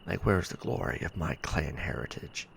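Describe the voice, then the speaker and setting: Male actor for stranded sci-fi soldier: worn-out, bitter, rock-bottom pitch, heavy vocal fry, muttered ASMR log delivery with sighs. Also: a little hiss or hum, heavily acted. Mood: sardonic frustration. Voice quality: very deep, gravelly, breathy murmur. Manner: low muttering with sighs and hesitant pauses. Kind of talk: sci-fi ASMR roleplay audio log monologue.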